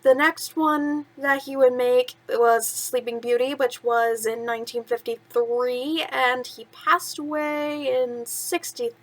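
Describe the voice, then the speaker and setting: A woman chatting casually as a storyteller who hosts children's bedtime story readings, with an expressive way of talking. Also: high voice, takes it slowly, hardly any pauses. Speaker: storyteller, channel host.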